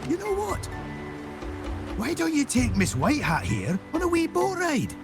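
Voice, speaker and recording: Eccentric old sea captain, video game character, jovial, folksy, swooping pitch, gravelly fry, music behind. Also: male voice, unhurried, exaggerated voice. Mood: folksy, upbeat. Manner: folksy, animated, swooping delivery.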